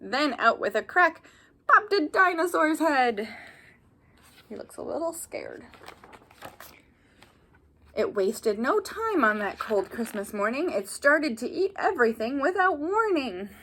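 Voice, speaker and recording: A woman reading a children's picture book aloud like a teacher, animated, with asides and questions to young listeners. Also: high voice, takes it slowly, long pauses.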